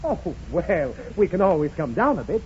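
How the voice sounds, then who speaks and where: An actor playing a brisk, polished car salesman with smooth patter in a 1930s radio comedy. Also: sits high, sing-song, a little mellow. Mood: patient, wry. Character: brisk, smooth-talking car salesman, long-suffering with customers. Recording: scratchy dull 1930s radio recording.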